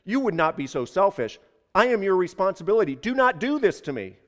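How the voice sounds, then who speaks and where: A man's voice: high voice, measured pace. A man voicing a demanding man who dangles from a rope and calls out insistently in a dramatized story. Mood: pleading, protesting. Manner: loud, insistent calling out.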